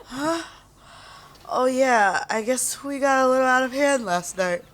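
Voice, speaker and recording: A woman's voice: high voice, animated, measured pace, fairly bright tone. A woman playing a groggy, hungover young slacker in a sitcom.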